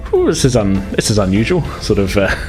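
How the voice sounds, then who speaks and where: A man's voice: lowish voice, wildly swooping pitch, fairly quick, darkish tone, soft background music. A man narrating a tabletop role-playing game as game master, in a hesitant, improvised, conversational way.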